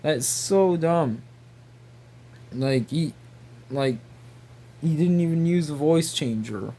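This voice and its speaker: A man rambling casually in a halting, repetitive, incredulous way. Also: a little high, mellow. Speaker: video creator.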